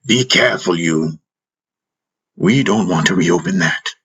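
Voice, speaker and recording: A man voicing a wise, calm old master in an audiobook, speaking in a measured, gently teasing way. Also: low-pitched, slightly rough, full character voice. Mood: gently firm. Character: wise, calm, wry old male master caring for a patient.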